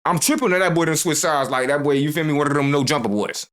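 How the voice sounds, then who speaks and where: A man's voice: fairly high pitch, lively intonation, fast talker, background noise. A man commenting casually on a video he is reacting to, joking in loose slang.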